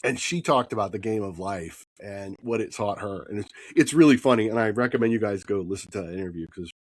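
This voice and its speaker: A man hosting a podcast interview, husky from a cold, upbeat and tagging his points with "right?". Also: lowish voice, fast, no echo. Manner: upbeat, often adds "right?". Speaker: podcast host.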